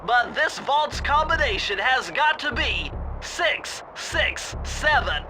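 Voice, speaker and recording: A very high-voiced, nerdy gadget expert gives earnest, precise instructions like a bookish sidekick. It is cartoon video game dialogue, with music clearly behind the voice. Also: male voice, lively intonation, slow, heavily acted, low-quality recording. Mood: earnest, instructive.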